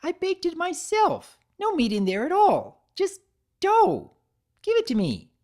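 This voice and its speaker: Hyper young mare for a cartoon story: high-pitched, emphatic, high-strung. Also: female voice, huge pitch swings, unhurried, mellow, full character voice. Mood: forced glee. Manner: emphatic, insistent, strained cheer. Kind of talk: dramatic fan-fiction reading.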